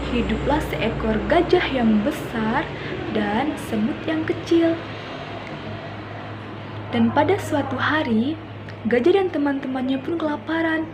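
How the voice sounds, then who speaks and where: A young woman reads a children's fable aloud, her voice very high. She tells it slowly and carefully. Traffic noise is clearly audible behind her. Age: young adult. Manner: slow, deliberate storybook telling. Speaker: student storyteller. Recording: noisy background with passing traffic.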